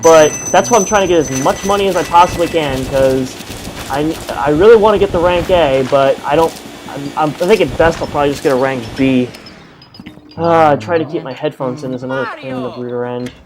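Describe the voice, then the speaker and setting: A man's voice: fairly high pitch, brisk, noisy background. A man giving casual, rambling let's-play commentary through an earphone headset mic, full of fillers and self-deprecating asides. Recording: game music playing behind. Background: game music.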